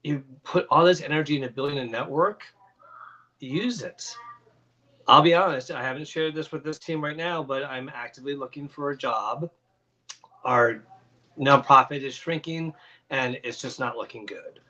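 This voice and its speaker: A man's voice: mid-range voice, moderate pace, pushed and bright, muffled recording. A man co-hosting a video chat, giving earnest, frank advice. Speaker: co-host.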